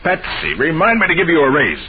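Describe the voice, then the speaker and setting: An actor playing a decisive, analytical detective in an old-time radio drama, with a commanding, deliberate delivery. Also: male voice, fairly high pitch, huge pitch swings, a crowd in the background. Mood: musing, intent. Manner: commanding, deliberate, clipped instructions. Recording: noisy, muffled vintage radio recording.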